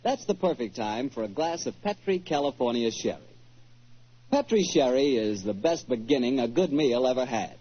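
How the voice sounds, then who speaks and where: An American man announcing and pitching a sponsor in a folksy, conversational 1940s radio style, with a husky voice. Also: lively intonation, normal speed. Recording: dull 1940s radio recording.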